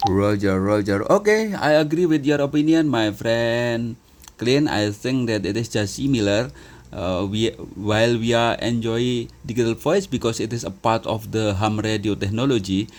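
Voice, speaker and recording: A man's voice: medium pitch, moderate pace, darkish tone. A man with an Indonesian accent speaking on amateur radio, formal and friendly, using radio sign-offs. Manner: formal radio etiquette, repeats "roger" and "my friend". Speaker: ham radio operator. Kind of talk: two-way radio chat.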